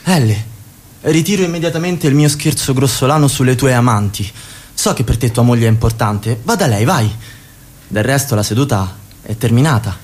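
A man's voice: medium pitch, moderate pace, darkish tone, husky, close to an everyday voice. A man playing a commanding party leader in a radio drama, falsely genial and curtly giving orders. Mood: smoothly magnanimous. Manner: authoritative, curtly instructing. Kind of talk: theatrical radio drama dialogue.

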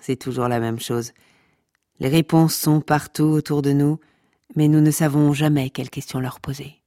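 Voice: medium pitch, fairly even intonation, normal speed, dark tone, dry recording, clean studio sound, natural voice. Une personne qui joue la narration omnisciente d'une fiction audio comique, pompeuse, théâtrale, taquine avec son auditoire. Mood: teasing, solemn. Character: pompous, mock-scholarly omniscient narrator addressing listeners. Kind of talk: scripted comic fiction narration.

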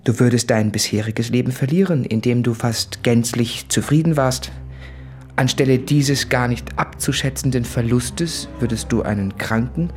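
An actor playing an anguished, self-tormenting suitor in an earnest, deliberate literary monologue for radio drama. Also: male voice, mid-range voice, soft background music, close to an everyday voice. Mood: earnest warning.

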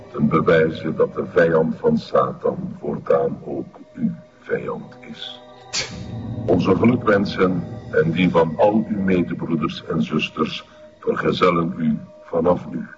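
An actor playing a solemn, commanding satanic cult leader in a television drama, speaking in a pompous, ceremonial manner. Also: male voice, a bass voice, slow pace. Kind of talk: television crime drama acting. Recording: muffled lo-fi sound, music behind.

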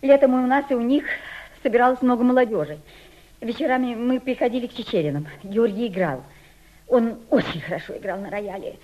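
Impassioned émigré lady journalist played by an actress, high-voiced, theatrical and emphatic; muffled radio-play sound. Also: slight background noise, natural voice. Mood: wistful. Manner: emphatic, deliberate, declamatory stage delivery. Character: impassioned Russian émigré woman journalist, well-bred, troubled. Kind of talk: theatrical radio drama acting.

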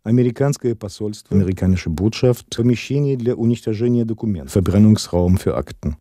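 Man as very dark-voiced, terse announcer. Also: fairly low pitch, a little fast, natural voice. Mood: neutral. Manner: terse, formal. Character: male announcer of scene locations in Russian and German. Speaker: scene announcer. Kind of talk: scripted radio play announcement.

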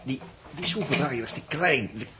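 An actor playing a grumbling, sarcastic spaceship technician in 1960s Dutch radio drama, with a Netherlands accent. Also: male voice, medium pitch, animated, moderate pace, close to an everyday voice. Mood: grumbling, tense. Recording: noisy old 1960s radio recording.

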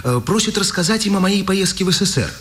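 An actor playing a tender, eager journalist husband in a 1950s radio drama. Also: male voice, high-pitched, lively intonation, fast, a bit bright. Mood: concerned. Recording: noisy old radio play recording. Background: airplane drone.

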